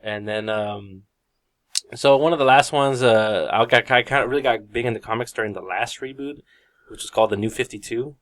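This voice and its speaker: A man's voice: mid-range voice, a little fast, fairly bright tone. Casual man hosting a podcast. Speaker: podcast host. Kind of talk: casual group chat about comics.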